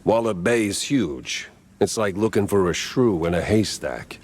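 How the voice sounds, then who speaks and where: A man voicing a world-weary, wisecracking noir detective mouse in a game, deadpan, with an American accent. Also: fairly low pitch, measured pace. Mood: hard-boiled. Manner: deadpan, dry.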